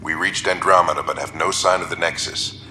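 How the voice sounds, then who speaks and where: An actor playing a grave, weary military explorer recording a log, in a gravelly voice with clipped delivery. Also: male voice, deep voice, steady tone, fairly quick, pushed and bright, slight background noise. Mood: grave, wary.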